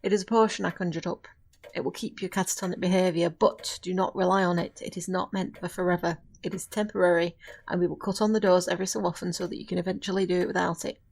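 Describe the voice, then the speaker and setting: A woman acting a centuries-old witch in an audio drama, firm but caring, speaking earnestly in formal, measured phrases. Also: lowish voice, little pitch movement, creaky, darkish tone. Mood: brisk, stern.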